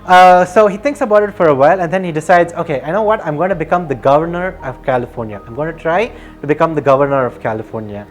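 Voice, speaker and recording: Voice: medium pitch, quick pace, few pauses, faint music behind. A video narrator telling a life story off the cuff, hesitant and rambling, often restarting and repeating phrases. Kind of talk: casual unscripted storytelling.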